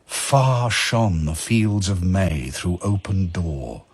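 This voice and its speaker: A man giving solemn, measured dramatic narration of verse as a storyteller. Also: deep voice, lively intonation, slightly rough, faint music behind.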